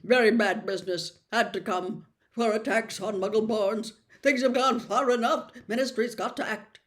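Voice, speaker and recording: A woman's voice: fairly high pitch, fairly even intonation, normal speed, lightly acted. Woman as a clipped, fussy government minister. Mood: brisk, grave. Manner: clipped, telegraphic, insistent. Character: fussy, anxious, self-justifying male government minister.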